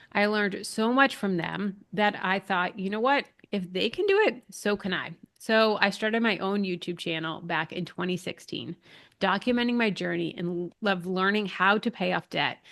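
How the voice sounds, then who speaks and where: A woman's voice: medium pitch, gravelly, a bit bright. A chatty, upbeat woman in her thirties hosts a live online class and greets viewers by name. A lingering cold leaves her a little nasal, with a dry throat and some shortness of breath. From Massachusetts. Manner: chatty, upbeat, reads out chat names. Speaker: online business coach hosting a live class. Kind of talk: casual live webinar talk.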